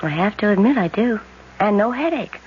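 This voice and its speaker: A woman's voice: medium pitch, lively intonation, moderate pace, slightly rough. An actress playing a young woman just woken from hypnosis, in a 1970s radio drama. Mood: wry. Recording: dull old radio broadcast, faint hiss.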